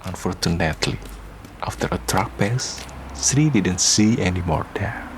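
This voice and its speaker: A man narrating a radio drama from a script in a measured storytelling manner. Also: low voice, gravelly, a little hiss or hum. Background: faint music.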